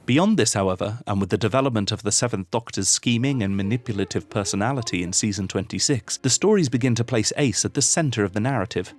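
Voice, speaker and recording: A man reading scripted, analytical video essay narration in a formal, articulate way. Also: fairly low pitch.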